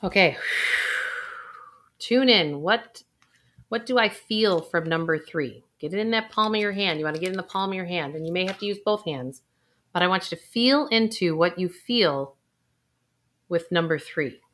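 A woman coaching viewers through a guided intuition exercise, with soft sighs and a calm, unhurried delivery. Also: on the low side. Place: indoors, recording a video.

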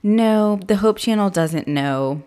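A woman co-hosting a book podcast, with a slightly raspy voice, talking casually and laughing often. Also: medium pitch, lively intonation, slow pace, warm tone. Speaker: podcast co-host. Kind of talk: casual chat, book review.

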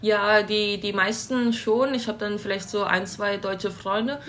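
Woman in her twenties, faint rumble. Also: unhurried. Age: 20s. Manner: relaxed, laughs lightly, some fillers. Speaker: interview guest. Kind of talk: casual interview chat. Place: her home in a city flat.

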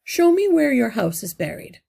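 Woman doing old male rat leader, storybook, grave, courteous, husky. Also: sits high, big pitch swings, mellow, pitched above the performer's own voice, heavily acted. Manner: measured, courteous, explanatory.

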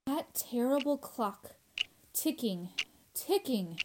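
A woman's voice: sits high, big pitch swings, takes it slowly, warm tone, slight background noise, heavily acted. A woman playing a grief-stricken, fretful young roommate in a horror radio drama, pleading and plaintive. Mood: weary, fretful.

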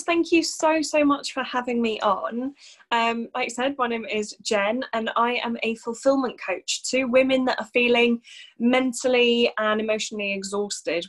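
Chatty woman guest, higher voice. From North Wales. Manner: open, reflective, chatty with many fillers. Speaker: podcast guest, coach. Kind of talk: casual interview chat.

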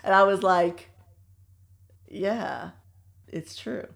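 Woman, casual chat, animated storyteller, laughs often. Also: medium pitch, drawn-out words, gravelly.

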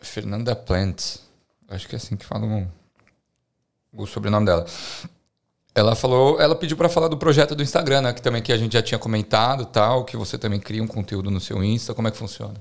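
A woman's voice: very deep voice, brisk, gravelly. A woman hosting a podcast in a casual interview, encouraging her guest and reading out listener questions. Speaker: podcast host.

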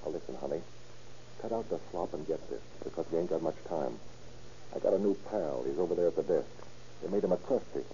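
A man's voice: rumbling low, fairly quick, warm tone. An actor playing a cocky, scheming American convict with pushy, slangy tough-guy talk in an old radio crime drama. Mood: bossy, brisk. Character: cocky, scheming male convict plotting a jailbreak. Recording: dull, noisy old radio recording.

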